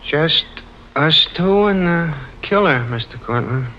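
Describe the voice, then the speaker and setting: An actor playing a nervous, simple-minded, comic male sidekick in plaintive, excitable 1950s radio drama style. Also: a little high, measured pace. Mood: sheepish, drowsy. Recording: dull, noisy old radio recording.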